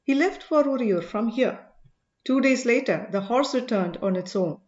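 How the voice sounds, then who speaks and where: An earnest, probing sage voiced by a woman narrator with a high voice and measured authority. The delivery is emphatic audiobook dialogue. The recording is muffled, with plenty of room echo. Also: expressive, a little mellow, natural voice. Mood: concerned, emphatic. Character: commanding male sage, earnest, probing, mildly excitable.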